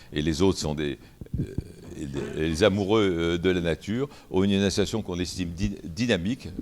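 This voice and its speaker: A man speaking at a public round table for a mill owners' association, halting and full of restarts. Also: low voice, slight background noise. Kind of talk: panel talk.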